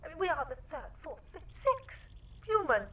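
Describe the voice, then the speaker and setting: A woman's voice: very high-pitched, big pitch swings, moderate pace, fairly bright tone, full character voice. A woman playing a genteel, wry lady adventurer, arch, wordy and polite, in a sci-fi comedy audio drama. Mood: exasperated.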